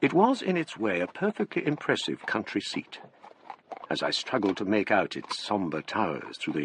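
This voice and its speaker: A low male voice narrates a gothic radio drama as a scholarly Englishman. The delivery is formal and literary, with expressive swings in pitch and an English accent. A faint noise sits behind it. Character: scholarly Englishman recounting a gothic tale from his past.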